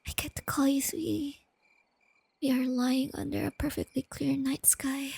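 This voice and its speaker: Female actor as timid, bookish young girlfriend for ASMR roleplay; soft and affectionate, creaky edges, close dry sound. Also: sits high, little pitch movement, no room sound. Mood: tender, affectionate. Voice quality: soft, breathy, often whispery. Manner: hesitant, tender, halting. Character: shy, nerdy, self-doubting young girlfriend, gentle and affectionate.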